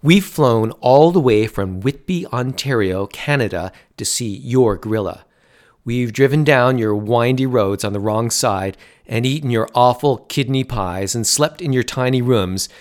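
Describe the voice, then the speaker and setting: A man voicing an excitable, stubborn middle-aged father who talks insistently and grows indignant. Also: lowish voice, normal speed. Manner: insistent, growing loud and indignant.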